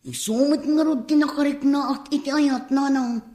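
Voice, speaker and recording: A woman's voice: high voice, steady tone, normal speed, dark tone, close to an everyday voice. A woman playing an earnest grown child pleading with a parent in a radio drama. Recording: roomy radio drama with music.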